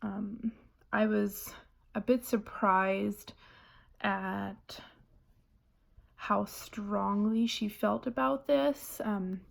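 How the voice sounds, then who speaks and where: Slow, flat woman, gravelly. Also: medium pitch. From Germany. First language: German. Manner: hesitant, frequent "um" pauses. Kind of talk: spoken reading response.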